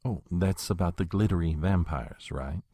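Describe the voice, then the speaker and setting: An actor playing an old, courtly and menacing male vampire in an audio drama, speaking with a suave, husky voice. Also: low voice, normal speed, dry recording, clean studio sound. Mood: silky. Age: older. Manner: suave, flattering, then threatening.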